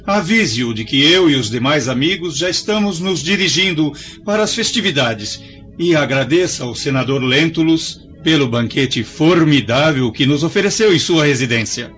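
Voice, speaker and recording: An actor playing a formal imperial administrator in a radio drama, giving orders. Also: male voice, fairly high pitch, lively intonation, normal speed, fairly bright tone, soft background music. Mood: commanding. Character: imperial administrator, commanding official. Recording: dull lo-fi audio with faint score.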